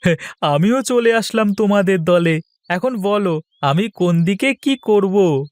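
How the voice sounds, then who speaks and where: A man's voice: sits high, expressive, unhurried, no room sound. A man voicing an eager, friendly village uncle in a cartoon, with an exclaiming manner. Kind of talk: cartoon voice acting.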